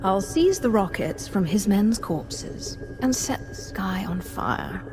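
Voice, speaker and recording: A woman's voice: medium pitch, lively intonation, slow pace, noisy background. An actress playing a ruthless, bloodthirsty female Sith warrior in a video game, speaking in a haughty, grandiose, commanding way. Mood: menacing, proud. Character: ruthless, bloodthirsty female dark-side Sith warrior, member of ruling council. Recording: game audio under loud music. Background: orchestral game music.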